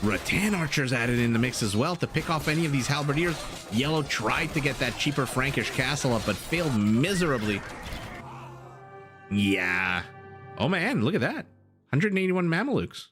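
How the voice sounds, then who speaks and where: A man giving excitable live commentary on a strategy game, his voice raspy and his throat dry, with fillers and laughs. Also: mid-range voice, normal speed, music in the background. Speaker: game caster. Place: home room.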